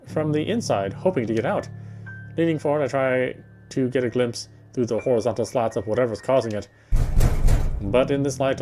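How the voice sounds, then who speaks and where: A man reading visual novel narration aloud, sometimes stumbling and repeating phrases. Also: mid-range voice. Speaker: playthrough reader.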